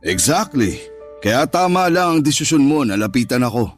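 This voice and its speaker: A male actor plays a wealthy Filipino businessman in a radio drama, a jealous and possessive man with a medium-pitched voice. He speaks in a controlling way that can promise care or threaten. Music plays faintly behind him. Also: very animated, normal speed, lightly acted. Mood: reassuring. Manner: controlling, alternately reassuring and threatening. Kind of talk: Tagalog radio drama acting.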